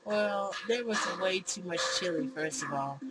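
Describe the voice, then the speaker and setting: A woman's voice: fairly low pitch, normal speed, very noisy, natural voice. A woman voicing a blunt, defensive husband in a visual novel, reading his dialogue dramatically. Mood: blunt complaining.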